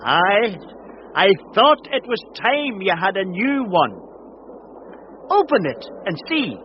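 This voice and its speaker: An actor playing a kind man posing as Santa in a radio drama, gentle and hearty, on dull old audio. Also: high voice, sing-song, takes it slowly, a little hiss or hum, full character voice.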